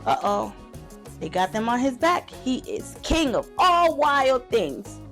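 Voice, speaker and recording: Female children's story host, higher register with noticeable vocal fry, reading a picture book and chatting to young listeners. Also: very animated, takes it slowly, a bit bright, soft background music. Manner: addresses children with questions and asides. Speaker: children's read-aloud host.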